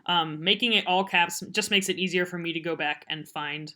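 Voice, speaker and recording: A woman talks through a software tutorial in a casual, unscripted, rambling and self-deprecating way. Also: on the low side, normal speed. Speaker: podcast editor giving a software tutorial. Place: voice-over on a computer screen recording.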